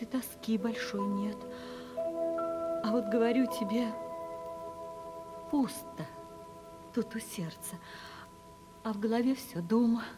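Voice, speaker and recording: An actress playing a melancholy, lonely young woman in love, simple and hushed, in a Russian radio play. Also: on the high side. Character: sorrowful, lonely young poor relation in love.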